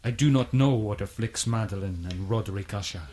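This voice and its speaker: A man's voice: lowish voice, a little fast, mellow, a little hiss or hum, close to an everyday voice. An actor playing a reserved, polite man attending a troubled household in a gothic radio drama, formal and guarded.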